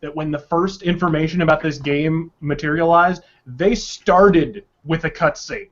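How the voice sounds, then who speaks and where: High-pitched man, emphatic profane ranter. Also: a little slow. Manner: sarcastic, exaggerating. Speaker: podcast panelist. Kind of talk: opinionated video game podcast talk. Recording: dull, lo-fi online audio.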